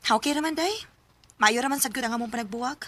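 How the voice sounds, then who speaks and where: A polite, high-voiced schoolgirl. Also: fast talker, dry recording. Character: teenage schoolgirl, calm, polite. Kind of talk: radio drama acting. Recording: loud cricket ambience behind. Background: crickets and insects.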